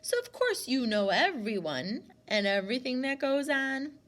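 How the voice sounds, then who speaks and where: A courteous, mysterious gentleman from a storybook, voiced by a woman. The delivery is light and mannered, slow and lilting, with polite probing phrases. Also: high voice, very animated, exaggerated voice. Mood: probing, thoughtful. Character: courteous, smooth, mysterious tall man in yellow suit.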